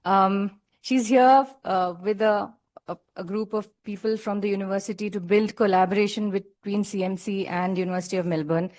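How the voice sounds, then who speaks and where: A woman's voice: mid-range voice, fairly even intonation, moderate pace, a little mellow. Casting: young woman, student introducing a guest, hesitant, filler-heavy. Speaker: PhD student introducing the speaker. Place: lecture room.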